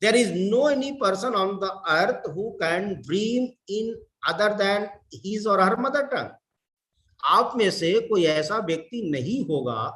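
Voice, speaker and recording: A middle-aged man from India with an Indian English accent, giving a deliberate lecture to fellow educators, repeating words and mixing in Hindi. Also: high-pitched, fairly bright tone, reverberant. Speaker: professor.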